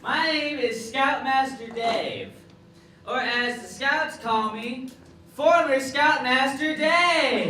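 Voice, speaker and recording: A man's voice: very high voice, far from the mic. A man on stage playing a bitter, washed-up former scoutmaster in a live stand-up character set, talking in a rambling, bitter way. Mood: boisterous. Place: live comedy venue with audience. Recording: echoey room recorded from afar, noisy.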